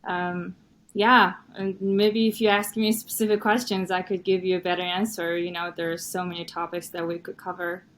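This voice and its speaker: A young woman from Mongolia, whose first language is Mongolian, chatting as a podcast guest in an animated way full of "you know". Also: on the low side, normal speed. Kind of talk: conversational interview.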